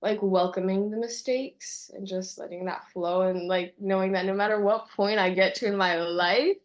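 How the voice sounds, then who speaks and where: A woman's voice: medium pitch, steady tone. Reflective young woman, lots of "like". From California, USA, and Colombia. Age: young adult. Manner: reflective, says "like" often, slows to stress words. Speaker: guest, university student abroad. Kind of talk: casual interview chat.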